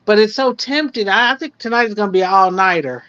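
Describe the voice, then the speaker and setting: Mid-pitched woman, muffled casual chat. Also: brisk, a bit bright, clean tone. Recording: dull, lo-fi stream audio.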